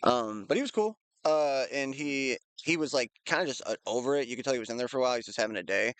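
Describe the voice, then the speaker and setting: A man's voice: mid-range voice, animated, quick pace, fairly bright tone, clear, resonant tone, no echo. A young man casually chatting with a friend, rambling through a jail story with lots of fillers. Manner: rambling with fillers and restarts, laid-back. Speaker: friend and co-host. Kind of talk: casual chat.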